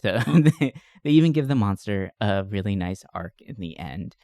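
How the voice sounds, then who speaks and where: A man's voice: on the low side, lively intonation, moderate pace, warm tone, no room sound. Casual, enthusiastic man chatting, dry close sound. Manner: enthusiastic, informal, swears casually. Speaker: podcast co-host. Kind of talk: casual chat about movies.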